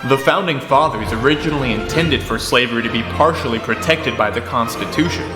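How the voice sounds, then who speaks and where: A man's voice: medium pitch, fairly quick, reverberant, in a large hall, music in the background, lightly acted. A man playing a combative young debater who is smitten with his rival in a radio drama. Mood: combative, formal.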